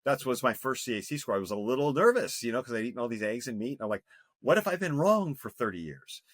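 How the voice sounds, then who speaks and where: A man's voice: medium pitch, sing-song, fast, fairly bright tone, no echo, studio quality. A man in his fifties, a health coach speaking earnestly and emphatically as a podcast guest, with frequent restarts. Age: 50s. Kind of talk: podcast interview.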